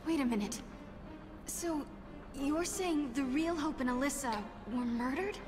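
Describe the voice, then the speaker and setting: An actress playing an earnest, inquisitive young heroine in a video game. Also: female voice, high voice, unhurried, big-room sound. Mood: troubled. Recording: game music behind the voice.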